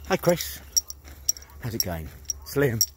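A man's voice: medium pitch, very animated, slow pace. A man, doing a brash, sweary, mocking one-sided prank phone call outdoors, filmed on a phone held at arm's length. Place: outdoors among trees. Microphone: phone held at arm's length, headphones on. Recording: noisy outdoor phone recording.

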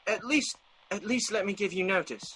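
An actor playing an anxious, proud manservant in a classic radio drama, stammering and pleading. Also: male voice, high-pitched, normal speed, sharp tone. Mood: pleading, ashamed. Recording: faintly noisy old radio recording.

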